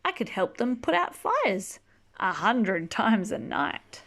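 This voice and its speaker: A woman reading a rhyming children's book aloud expressively, drawing out key words and chatting gently to young listeners. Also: mid-range voice. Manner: animated, drawn-out key words, gentle questioning asides. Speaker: storybook reader reading to children.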